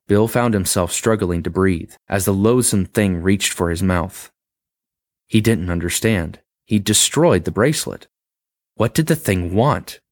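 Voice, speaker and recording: A man with a very low voice narrates a horror tale, taking his time over each sentence and leaning into the suspense. The sound is close and dry, with no room around it. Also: no room sound. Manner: measured, dramatic pacing. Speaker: story reader. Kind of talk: scripted horror story narration.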